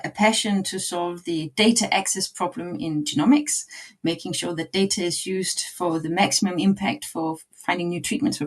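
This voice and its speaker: Measured woman telling her career story. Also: on the low side. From Denmark. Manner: measured, fluent, occasional fillers and light laughs. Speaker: guest entrepreneur. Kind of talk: interview answer.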